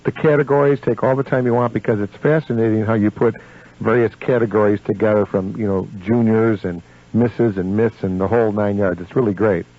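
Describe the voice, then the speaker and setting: A man's voice: fairly low pitch, steady tone, a little fast. A man hosting a live radio interview in a chatty, effusive and enthusiastic manner. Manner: effusive, full of enthusiastic compliments. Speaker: radio host. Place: radio studio. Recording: dull AM radio broadcast.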